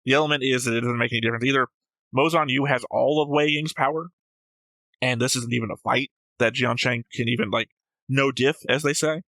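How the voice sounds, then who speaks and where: Bright-voiced man debating casually, dry close sound. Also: mid-range voice, steady tone, fairly quick, dry recording, poor audio. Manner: argumentative, analytical, swears casually. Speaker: host of an anime reaction show. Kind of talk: casual debate about a show.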